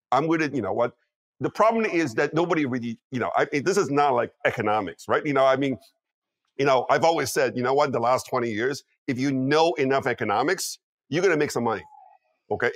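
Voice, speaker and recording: A middle-aged man giving emphatic commentary as a guest in an interview, often tagging his points with "okay" and "you know what". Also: mid-range voice. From Taiwan. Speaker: guest strategist.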